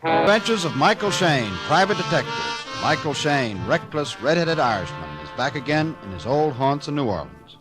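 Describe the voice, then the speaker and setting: A man's voice: a little high, big pitch swings, moderate pace, few pauses. A man announcing a vintage radio detective drama as its director, in a dramatic style. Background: dramatic brass music.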